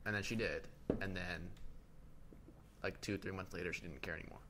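A man's voice: deep voice, steady tone, a little fast, bright, forceful tone. A man in his twenties co-hosting a casual podcast, slangy and teasing, with some swearing. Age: 20s. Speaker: podcast co-host. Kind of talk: casual chat. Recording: clear background noise.

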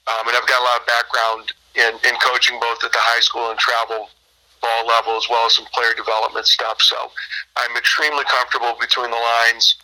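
An American man in his fifties answering interview questions in a measured, explanatory way as a team owner and coach. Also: medium pitch, monotone, sharp tone, low-quality recording. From Michigan, United States. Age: 50s.

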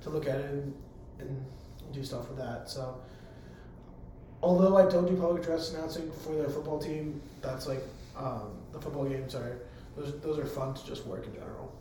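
A hoarse young man, a college public address announcer, answering interview questions in an eager, rambling way full of fillers. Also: medium pitch, moderate pace. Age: young adult. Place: room on a college campus. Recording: very echoey room, faint background hum.

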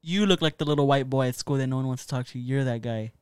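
A young man streaming casual chat, teasing his friend with jokes and loud swings in volume. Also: medium pitch, fast, smooth, dry recording, clean studio sound.

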